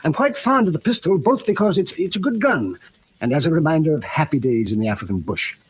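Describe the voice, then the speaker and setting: A pompous, wealthy yacht skipper from a 1940s radio play. His voice is on the high side, husky and very animated, with fussy, genial formality. The recording is muffled and vintage. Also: fast talker. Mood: smug, genial. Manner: pompous, formal, clipped old-fashioned phrasing.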